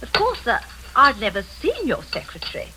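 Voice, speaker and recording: An actress playing an obliging, gossipy tea-shop keeper, in 1940s radio-drama style. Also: female voice, a little high, wildly swooping pitch, normal speed, noisy background.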